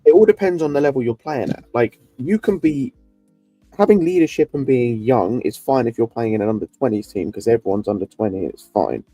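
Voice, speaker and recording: A man's voice: medium pitch, lively intonation, a little fast, warm tone, breathy edge, dry recording, faint music behind. A man on a casual football chat who argues his case and explains it step by step.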